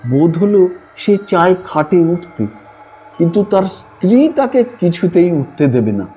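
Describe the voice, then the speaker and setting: A man with a high-pitched voice tells a ghost story in a slow, suspenseful audiobook style. His tone is mellow and expressive. The recording is slightly dull, with music playing behind him. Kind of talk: dramatic scripted audiobook narration. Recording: dull lo-fi voice track over music. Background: background music.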